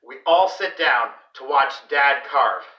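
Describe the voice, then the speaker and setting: A man reading a children's story aloud in an expressive, deliberate, dramatic way. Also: medium pitch, muffled recording. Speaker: read-aloud host for a school class. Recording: roomy echo, distant microphone.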